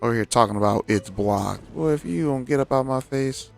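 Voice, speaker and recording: A man's voice: medium pitch, fairly quick, vocal fry. A man giving casual, slangy gameplay commentary, talking to himself and his viewers. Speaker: game streamer. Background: video game music.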